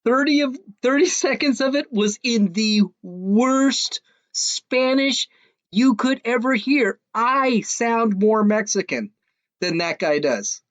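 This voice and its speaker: A man's voice: very high voice, animated, slow, fairly bright tone, dry recording. A man hosting a podcast, ranting casually in a mocking, scoffing way, rambling with frequent restarts. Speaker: podcast host. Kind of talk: casual opinionated rant.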